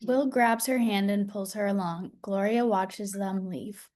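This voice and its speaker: A woman's voice: medium pitch, steady tone, a little slow, a little mellow. A woman reading stage directions as scripted narration.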